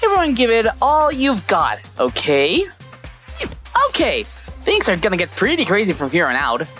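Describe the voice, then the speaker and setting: Monokuma, a wildly animated sadistic bear. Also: female voice, medium pitch, normal speed, faint music behind. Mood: gleeful, taunting. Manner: theatrical, gleeful, taunting. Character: Monokuma, gleefully sadistic robot bear game master. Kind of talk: anime game fandub.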